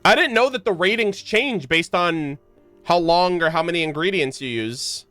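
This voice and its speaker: A man's voice: high voice, lively intonation, normal speed, bright, forceful tone. Male game streamer, informal and slangy, talking to chat over game music. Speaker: live streamer, gamer. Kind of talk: casual live game-stream commentary to chat.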